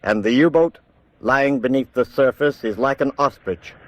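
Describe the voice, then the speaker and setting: An actor playing a precise, authoritative announcer in a wartime documentary radio drama. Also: male voice, medium pitch, moderate pace, close to an everyday voice. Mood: measured, dry. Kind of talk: formal documentary radio narration.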